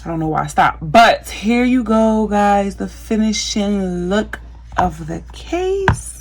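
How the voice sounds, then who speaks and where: Young woman, craft vlogger, low gravelly voice, chatty and filler-heavy, faint hiss behind. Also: sing-song, takes it slowly. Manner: chatty, many fillers, addresses viewers as "you guys". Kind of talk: casual how-to vlog chat.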